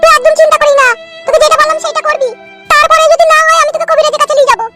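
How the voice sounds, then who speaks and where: A nosy older cartoon auntie chatters out frank advice in a rural West Bengal accent. The voice is pitched up extremely high and tiny, over prominent music. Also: male voice, steady tone, racing. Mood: chatty, confiding. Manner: chattering, preachy, earthy. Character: bossy older village aunt, nosy, frank, full of advice. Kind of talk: comic cartoon dialogue.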